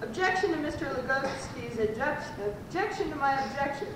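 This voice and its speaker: Woman acting a high-voiced defence lawyer, very echoey. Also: lively intonation, a little fast. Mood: objecting. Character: confident, formal defence lawyer in courtroom parody. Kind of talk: amateur old-time radio comedy acting. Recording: very echoey, distant, noisy room recording.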